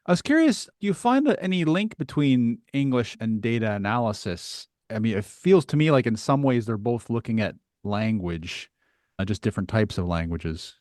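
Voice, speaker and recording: A man's voice: medium pitch, animated, moderate pace, a little mellow, no room sound, studio quality. A man hosting a podcast, interviewing in a casual, conversational way.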